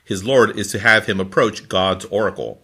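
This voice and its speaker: A man reading scripture from a formal translation in a deliberate, phrase-by-phrase manner. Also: lowish voice, fairly even intonation, bright, forceful tone. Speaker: scripture reader.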